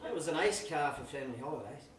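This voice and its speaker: A man's voice: a little high, expressive, fast, crowd noise, close to an everyday voice. An actor, a man, playing a teasing, sardonic brother with a dry, needling manner. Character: teasing, sardonic sibling trading barbs. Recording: very echoey room, distant mic.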